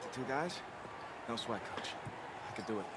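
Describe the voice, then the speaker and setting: A man's voice: medium pitch, lively intonation, fairly quick, lightly acted. An actor playing an earnest young male quarterback with a New Jersey accent, heard through game audio. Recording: game audio with background noise.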